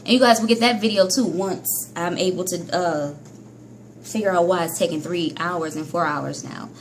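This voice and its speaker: A woman vlogging about hair care in a casual, chatty monologue, scolding herself and jumping between high and low pitch. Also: normal speed, a little hiss or hum. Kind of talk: casual vlog monologue to viewers.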